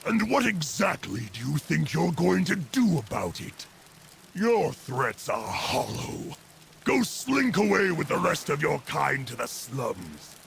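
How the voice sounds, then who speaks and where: An actor playing a proud, belligerent orc war chief in a game cinematic, gravelly, commanding and full of contemptuous threat. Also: male voice, fairly high pitch, big pitch swings, slow pace, fairly bright tone, slight background noise, exaggerated voice. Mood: menacing contempt. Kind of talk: video game cinematic acting.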